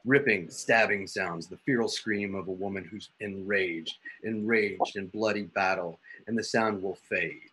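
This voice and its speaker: A man narrates a scripted drama in a lowish voice. He is very slow and deliberate, leaving pauses between phrases. A faint noise sits behind him on a home video-call recording. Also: sharp tone, low-quality recording. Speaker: narrator reading script and stage directions.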